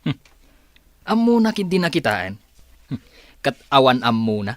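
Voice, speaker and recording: An actor with an Ilocano accent playing a skeptical, grumbling office boss in a radio drama. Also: male voice, mid-range voice, very animated, moderate pace, no echo, close to an everyday voice. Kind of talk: Filipino radio drama acting.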